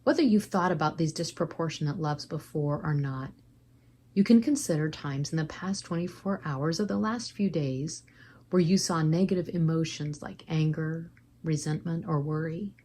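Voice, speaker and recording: Low-voiced woman leading a guided meditation. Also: normal speed, mellow, slight background noise.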